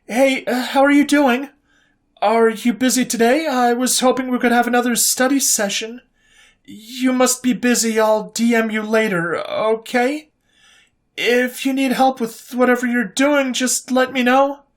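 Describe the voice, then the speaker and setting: A woman's voice: on the high side, steady tone, a little slow, voice pushed up, lightly acted. A storyteller voicing an anxious young woman officer who stammers and pleads, spiraling into self-blame. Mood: pleading.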